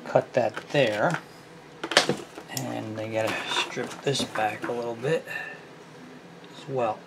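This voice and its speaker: A man's voice: medium pitch, fairly even intonation, takes it slowly, creaky, background noise. A middle-aged man casually talking through a repair at his workshop bench, thinking aloud with a self-deprecating, easygoing manner. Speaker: small engine repair host. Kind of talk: casual hands-on repair tutorial.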